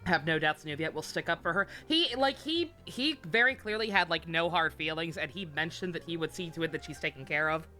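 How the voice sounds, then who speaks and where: A woman's voice: low voice, brisk, few pauses, pushed and bright, faint music behind. A woman streaming a game with casual live commentary, blunt and slangy, swearing freely.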